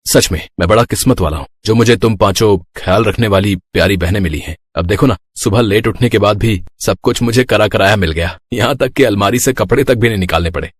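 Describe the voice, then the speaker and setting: Male actor as caring elder brother in a family story, young, joking, expressive, recorded dry. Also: lowish voice, brisk, few pauses, slightly rough, no echo. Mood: tender, reflective. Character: caring, teasing elder brother of five sisters, working young man. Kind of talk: dramatized Hindi family story acting.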